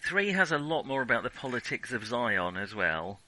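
Bright-voiced man chatting about films. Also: mid-range voice, big pitch swings, moderate pace, slight background noise, low-quality recording. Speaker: film discussion podcast co-host. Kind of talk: casual film discussion.

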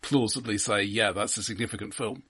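Husky-voiced man, wry and analytical. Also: on the low side, steady tone, a bit bright. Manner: measured, wry, hedging and self-correcting. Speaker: film podcast co-host. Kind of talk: casual analytical film discussion.

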